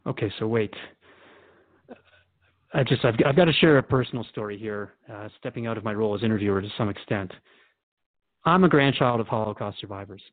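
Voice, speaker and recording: A man's voice: lowish voice, brisk, pauses often, dry recording, close to an everyday voice. A man playing an earnest, respectful radio host in a drama, questioning in a conversational, hesitant and probing way. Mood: troubled, sincere. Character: earnest, questioning male radio interviewer, respectful but troubled. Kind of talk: radio drama interview acting. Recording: dull lo-fi, dry audio.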